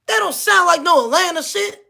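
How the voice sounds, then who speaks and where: A woman's voice: very high voice, animated, fairly quick, gravelly, bright, forceful tone. A woman with a Missouri accent, ranting casually to camera, heated and profane, repeating herself. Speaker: vlogger.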